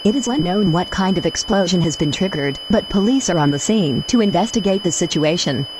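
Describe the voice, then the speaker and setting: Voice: medium pitch, animated, brisk, close to an everyday voice. A speaker playing an impersonal civil danger announcer, reading a scripted alert in a formal, official way. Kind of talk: scripted emergency alert bulletin. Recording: noisy alert broadcast with hum.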